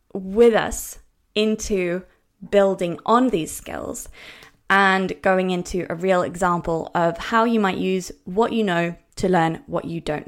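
A woman whose first language is Norwegian, hosting a podcast language lesson and explaining step by step in a gentle, encouraging way. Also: mid-range voice. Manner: slows down to spell out example words. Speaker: language coach and podcast host.

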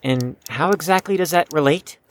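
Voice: mid-range voice, moderate pace, close to an everyday voice. An actor playing a reserved, defensive young chess prodigy in an audio drama, with a guarded, precise, slightly stiff delivery. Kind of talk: scripted audio drama acting.

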